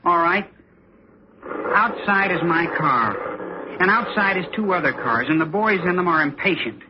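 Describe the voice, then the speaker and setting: An actor playing a cocky, menacing teenage gang leader in old radio drama, with slangy, taunting toughness. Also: male voice, sits high, lively intonation, moderate pace, noisy background. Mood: cool menace. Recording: muffled vintage radio broadcast with music.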